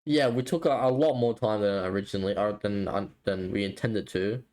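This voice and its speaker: A man's voice: fairly low pitch, a little fast, a little mellow. A man co-hosting a podcast in casual chat, explaining things in a hesitant, rambling way. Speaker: podcast co-host.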